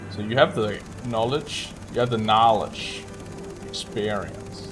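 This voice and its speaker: A man's voice: fairly low pitch, measured pace, over music. A man giving casual, chatty livestream commentary while speedrunning a game, swearing now and then. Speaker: speedrunner streaming gameplay.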